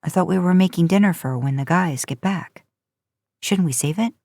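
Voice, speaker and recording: Awkward teen boy read by a female narrator for storybook audio: casual, hesitant, low-pitched, dry. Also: moderate pace, mellow, no room sound, close to an everyday voice. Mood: unsure. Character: guarded, awkward teenage boy, casual and modest.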